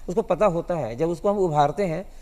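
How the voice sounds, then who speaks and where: A man answering studio interview questions as a guest, explaining in a halting way. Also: on the high side, a little mellow. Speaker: guest cartoonist.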